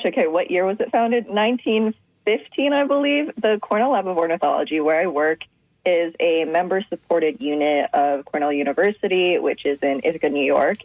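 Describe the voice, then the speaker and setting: A woman's voice: low voice, dry recording. A woman researcher joining a radio interview by phone, eager and upbeat as she explains her work. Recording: dull, dry phone line.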